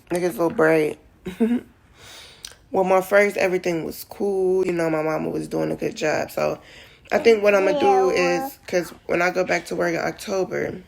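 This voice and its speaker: Gravelly low-voiced woman, thirty-ish, rambly emotional vlog talk, kinda teary. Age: 30s. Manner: emotional, rambling, tearful at times. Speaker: vlogger. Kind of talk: casual vlog chat.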